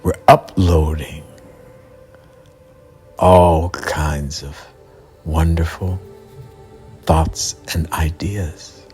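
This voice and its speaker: A man narrating a bedtime story for children as a guide, in a measured, deliberate delivery with pauses mid-sentence. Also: a bass voice, steady tone, a little mellow. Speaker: bedtime storyteller and mindset coach. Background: background music.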